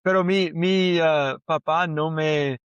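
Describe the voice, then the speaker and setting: A man speaking learner Spanish at an unhurried pace. His voice is clear and clean, and the sound is dry and close. He talks hesitantly, restarts often and fills gaps with quick yeses. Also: on the high side, steady tone, no room sound, muffled recording. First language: English. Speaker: podcast co-host. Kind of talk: casual conversation in learner Spanish.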